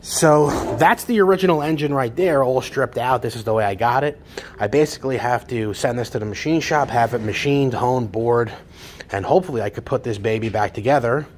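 A man's voice: mid-range voice, a little fast, a little hiss or hum. A middle-aged man, a classic car parts dealer who chats and rambles with enthusiasm while he films a walkaround in a large repair garage. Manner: chatty, enthusiastic, rambling with restarts. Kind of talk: casual walkaround video narration.

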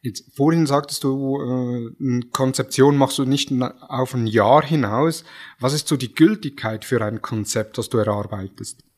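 A man's voice: mid-range voice, normal speed, a little mellow. A man with a Swiss German accent hosting a podcast interview, friendly and thankful, with some hesitations. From Switzerland. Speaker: podcast host.

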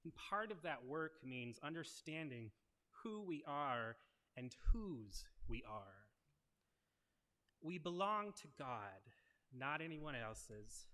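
Young man, preacher, earnest conversational sermon, headset mic, bright tone. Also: mid-range voice. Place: wood-paneled church sanctuary at a lectern. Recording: long church reverb.

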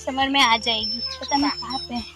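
A woman's voice: on the high side, fairly even intonation, a little fast. A young woman vlogging casually while she does household chores, explaining each task to viewers on a phone held at arm's length outdoors. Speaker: village vlogger. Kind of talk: casual vlog narration while doing chores. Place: rural home courtyard and fields, outdoors. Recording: music playing behind the voice.

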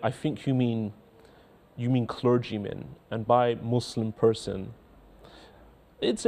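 A man in his thirties with a faint Scottish accent, a cleric in a TV studio reading out and answering viewer letters in a measured, earnest way. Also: mid-range voice, a little mellow. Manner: measured, earnest, repeats words when starting sentences. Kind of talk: studio talk show, reading letters aloud. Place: indoor TV studio.

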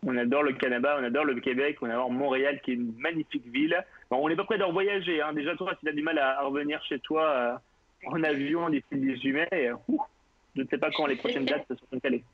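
A man hosting a live radio interview, lively and joking, often chiming in. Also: a little high, fast, no echo, phone-quality sound. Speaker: radio show host.

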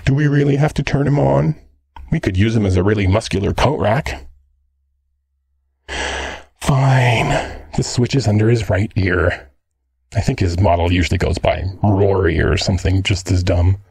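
Casting: male actor for a sardonic, teasing android boyfriend, jealous streak, audio roleplay, gravelly and close-miked dry. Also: fairly low pitch, moderate pace, long pauses, a little mellow, dry recording. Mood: jealous, sulky. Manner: cheeky, slangy, sardonic.

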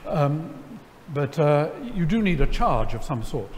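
A middle-aged man, seated on stage, telling a story to an audience in a wry, animated way, full of ums and restarts. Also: fairly high pitch, unhurried, slight background noise. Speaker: lecture guest. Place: large church sanctuary before an audience. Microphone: headset mic. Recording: hall reverb, faint ambience.

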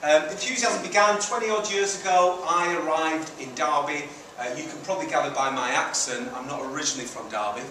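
A middle-aged man with a fairly high voice speaks informally to a meeting. He uses short emphatic phrases and rhetorical questions. The sound is heavily echoey, caught by a distant mic in a community centre room. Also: brisk, few pauses, bright, forceful tone, a little hiss or hum. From Manchester, England, and Italy. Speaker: charity founder giving a presentation. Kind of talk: informal public presentation. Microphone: distant camera at the back of room.

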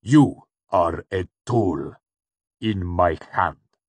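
A man voicing a hooded dark lord in a fantasy story, cool and soft, speaking with patient, polite menace. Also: low voice, husky, no echo, heavily acted. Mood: cold, commanding. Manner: calm, polite, deliberate menace.

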